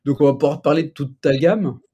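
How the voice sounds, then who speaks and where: A man's voice: a little high, fast, mellow, breathy edge, lo-fi sound. A man hosting a casual live chat, rambling loosely with lots of fillers. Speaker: livestream host.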